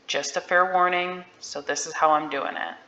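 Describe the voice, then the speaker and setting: A woman giving a casual, candid software walkthrough in an informal, unscripted way. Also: low voice, fairly even intonation, moderate pace, slight background noise. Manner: informal, candid about not being an expert. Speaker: realtor giving a software tutorial. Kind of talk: casual screen-share walkthrough. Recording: dull, roomy-sounding audio.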